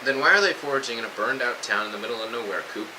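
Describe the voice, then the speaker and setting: A man's voice: medium pitch, rapid-fire, natural voice. A man playing a weary, cautious soldier in a post-apocalyptic audio drama, speaking in terse military radio talk. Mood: wary, commanding. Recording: steady hiss, noisy radio-style recording.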